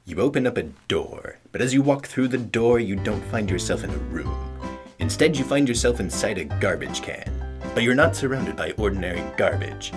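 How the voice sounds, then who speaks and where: A man reading credits as a tongue-in-cheek second-person story, in a deadpan storytelling manner. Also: fairly low pitch, normal speed. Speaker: credits announcer. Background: plucked guitar music.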